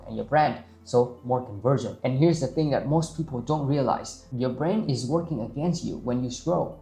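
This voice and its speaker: Confident man, non-native speaker, mellow voice. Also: medium pitch, echoey room. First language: Vietnamese. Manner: confident, emphatic teaching with numbered points. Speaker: content marketing coach. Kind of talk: direct-to-camera social media lesson.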